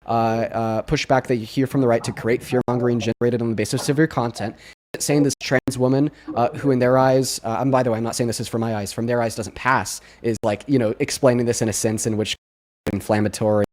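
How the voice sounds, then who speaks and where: A man's voice: mid-range voice, steady tone, brisk, a little mellow. A man arguing in a debate, rambling and often restarting or rephrasing his points. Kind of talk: debate argument.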